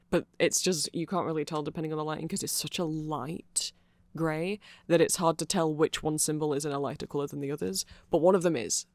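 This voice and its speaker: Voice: mid-range voice, no echo. A person giving a chatty, rambling ASMR-style fan explainer in a playful, informal way. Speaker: ASMR creator explaining band lore.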